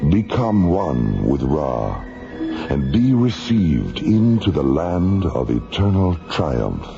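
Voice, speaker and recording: Casting: grave mystical narrator, gravelly and slow, for dramatic radio narration. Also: male voice, very deep voice, sing-song, exaggerated voice. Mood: solemn. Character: solemn, mystical male narrator reciting ancient scripture. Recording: dull radio recording, loud music behind.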